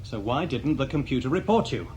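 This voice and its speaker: A man plays an edgy crook in a science fiction radio play. He speaks rapidly in a medium-pitched voice, brusque and doubting, quick to snap. There is slight noise behind him. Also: animated, a little mellow, natural voice. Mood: sceptical, irritable. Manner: brusque, sceptical. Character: edgy, irritable armed art thief. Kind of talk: radio drama acting. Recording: faintly noisy 1980s radio drama.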